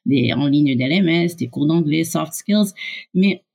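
A woman with a North American English accent speaks as a guest on an interview podcast. She is middle-aged and her voice sits low. She explains fluently and at length, with occasional fillers. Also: a little slow, creaky, a little mellow. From Canada and USA. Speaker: guest, startup co-founder.

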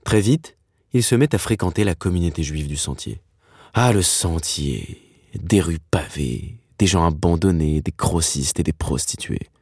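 A man's voice: deep voice, animated, a little slow, creaky, a little mellow, husky, dry recording, clean studio sound, exaggerated voice. An actor playing a dreamy screenwriter in his thirties, who tells his father's story reflectively in an intimate podcast drama. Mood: nostalgic. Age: 30s.

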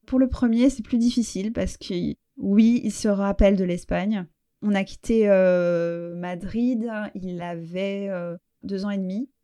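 A middle-aged French woman talks through a personal conversation, her voice mellow and soft-edged. She reflects as she goes, pausing on fillers and starting her sentences again. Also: mid-range voice, steady tone. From France. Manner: reflective, halting with fillers and restarts. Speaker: interview guest.

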